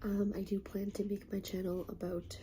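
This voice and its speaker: A woman with a cold and a stuffy, congested voice, talking softly and hesitantly in a half-whisper. She pauses on many ums, sounds self-conscious about her voice, and gives a casual spiritual talk as a tarot reader. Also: medium pitch, level tone, a little hiss or hum.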